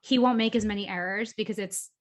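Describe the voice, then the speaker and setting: A woman co-hosting a sports chat, opinionated and chatty. She talks in an easy, unpolished way and leans on fillers as she reasons out loud. Her pitch sits in a middle range. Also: fast. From Boston area. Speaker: podcast co-host.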